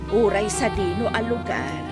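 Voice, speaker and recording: A woman's voice: mid-range voice, animated, moderate pace, loud music behind the voice, lightly acted. A woman with an Ilocano accent playing a worried village mother who nags her husband in a radio drama. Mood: worried, gossiping.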